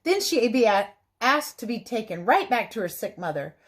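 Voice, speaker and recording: Expressive higher-voiced woman reading to children. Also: moderate pace. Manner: animated, child-directed, dramatizes the story with teacherly commentary. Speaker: teacher reading a picture book aloud to children. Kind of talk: expressive storybook read-aloud with explanatory asides.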